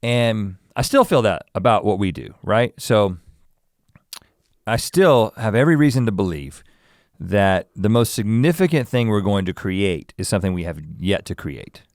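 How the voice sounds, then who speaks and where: Reflective man in his forties, low voice. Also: big pitch swings, a little mellow, no echo, studio quality. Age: 40s. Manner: reflective, musing, halting restarts. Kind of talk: casual chat.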